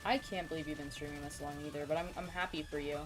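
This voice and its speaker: A woman's voice: deep voice, fairly even intonation, fast, music in the background. A young adult woman chatting casually and informally, swearing freely. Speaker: companion on a friend's livestream. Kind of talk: casual chat.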